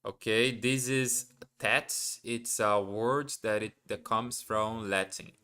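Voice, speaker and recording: A man with a Portuguese mother tongue speaks slowly and unhurriedly. His lowish voice is bright and crisp as he talks through word forms, hesitating and correcting himself along the way. He sounds like a learner studying live for viewers. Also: fairly even intonation. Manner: hesitant, self-correcting, muses aloud. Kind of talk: thinking aloud while studying.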